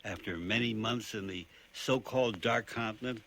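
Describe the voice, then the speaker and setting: A man playing a skeptical playwright, narrating his safari in measured, wry phrases for a radio drama. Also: fairly low pitch, fairly bright tone. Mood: wry storytelling.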